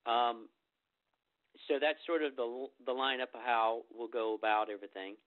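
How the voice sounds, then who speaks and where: A man's voice: mid-range voice, fairly even intonation, normal speed. Man, meditation host, slightly off voice from a cold, filler-heavy, tinny phone sound. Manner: rambling, many fillers and restarts. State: getting over a cold. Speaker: meditation teacher hosting a group phone call. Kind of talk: informal spiritual talk before a meditation. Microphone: telephone. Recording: phone-line recording.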